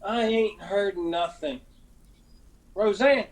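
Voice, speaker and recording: An actor playing a proud, stubborn young mountain sweetheart with a folksy Appalachian drawl in a radio drama. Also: male voice, high voice, slow pace. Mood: earnest, impatient.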